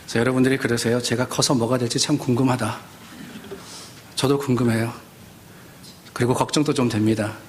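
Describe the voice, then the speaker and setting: A man's voice: medium pitch, little pitch movement, fairly quick, long pauses, a little hiss or hum. A middle-aged man from South Korea giving a sermon as a pastor, speaking conversationally and posing questions to his listeners. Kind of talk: Sunday sermon with personal anecdotes.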